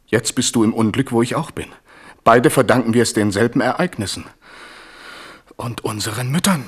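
Resentful, suspicious king played by a man, husky voice, brooding intensity, radio drama. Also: mid-range voice, moderate pace, lightly acted. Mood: bitter, suspicious. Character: suspicious, resentful king plotting against his brother. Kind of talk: 1970s German radio play acting.

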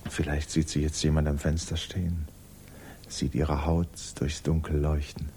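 An actor narrating a literary 1950s German radio drama as a sober, observant storyteller. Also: male voice, a bass voice, level tone, a little slow, warm tone, slight background noise. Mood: subdued, melancholy.

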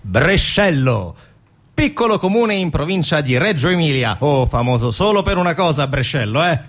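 A man performing a theatrical narrative monologue, with dramatic pauses, rhetorical questions and conversational asides. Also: fairly high pitch. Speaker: stage actor and narrator.

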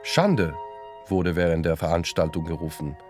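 A man's voice: deep voice, moderate pace. A man narrating a radio documentary in a scripted style. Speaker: narrator and director of a radio play. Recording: music under the voice. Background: music.

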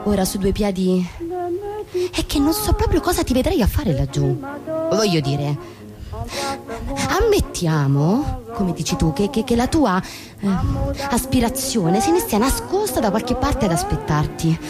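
An actress in a radio drama playing a bitterly sarcastic office colleague, emphatic and deliberate in repeating barbs. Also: female voice, mid-range voice, big pitch swings, loud music behind the voice. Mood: bitter, mocking. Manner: sardonic, deliberate, emphatic repetition. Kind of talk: theatrical radio drama monologue.

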